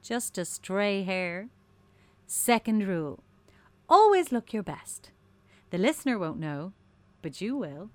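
Breezy, patronising radio producer, swooping delivery. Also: female voice, mid-range voice, expressive, slow pace, pauses often, a little mellow, no echo. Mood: playfully menacing. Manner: sugary, condescending, theatrically chatty. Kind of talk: darkly comic audio drama acting.

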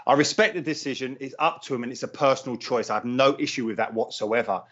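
A middle-aged man from London speaks quickly and with conviction. He keeps restarting his sentences as he argues his point in a radio interview. The sound is dull and lo-fi, like a phone line. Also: mid-range voice, steady tone, a bit bright. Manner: earnest, firm, frequent restarts. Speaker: former footballer, radio guest.

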